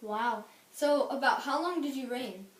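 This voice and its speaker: A woman's voice: high voice, measured pace. A woman hosting a role-play interview in a cheerful, upbeat presenter manner, recorded in an echoey room from a distance. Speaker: interviewer host.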